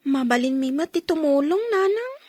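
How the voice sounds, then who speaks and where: A dutiful eldest daughter in a radio drama, acted by a woman. Her voice is strikingly high and girlish, recorded very dry. She speaks earnestly, often pleading like a peacemaker. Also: animated, normal speed, darkish tone, no room sound. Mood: gentle, concerned. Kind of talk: radio drama acting in Ilocano.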